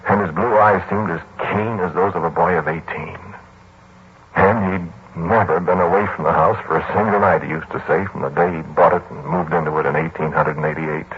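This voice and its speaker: A low-pitched, haunted man, played by an actor, confides his story in 1940s radio drama style. He is halting and conversational, weary and defensive. The old recording is dulled, with clear hiss. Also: steady tone, phone-quality sound, lightly acted. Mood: brooding, reflective. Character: weary, defensive male narrator recounting a haunted house.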